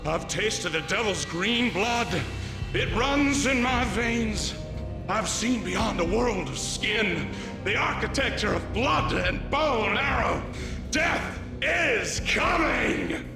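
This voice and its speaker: A crazed video-game mob boss rants in a high, gravelly voice that lurches from growl to shriek. He is theatrical and unhinged, a cultist who thinks himself a wolf. Heavy reverb surrounds him and music plays behind. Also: lively intonation, slow pace, heavily acted. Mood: ominous, feverish. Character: deranged occult-obsessed mob boss raving like a wolf. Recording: reverberant hall, loud music.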